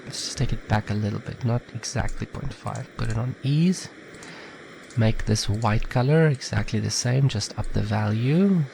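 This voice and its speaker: A man with a slightly nasal, stuffed-up voice from a head cold, giving a relaxed, chatty step-by-step software tutorial. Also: lowish voice, normal speed, creaky, noisy background. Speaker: tutorial maker. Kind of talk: casual screen-recorded software tutorial.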